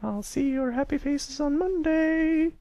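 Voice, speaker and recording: A man voicing a kindly, concerned female pony schoolteacher in falsetto, in a storybook reading. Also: a little slow, warm tone, no echo. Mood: gentle.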